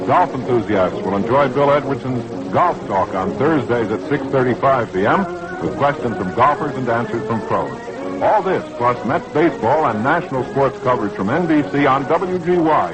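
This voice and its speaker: A man's voice: medium pitch, expressive, normal speed, poor audio. A man reading a scripted station promo in a formal, polished 1960s radio announcer style. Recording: vintage 1960s AM radio recording. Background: music.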